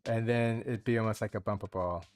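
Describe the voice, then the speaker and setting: A man's voice: on the low side, fairly even intonation, brisk, a little mellow. Man musing over gameplay, faint music behind. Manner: musing, rambling with restarts. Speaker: gaming channel host. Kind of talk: casual gameplay commentary.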